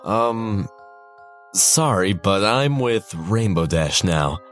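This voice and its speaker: A man's voice: on the low side, lively intonation, takes it slowly, a bit bright, faint music behind. A man voicing an easygoing, somewhat hesitant young male hero in a story reading. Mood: nervous.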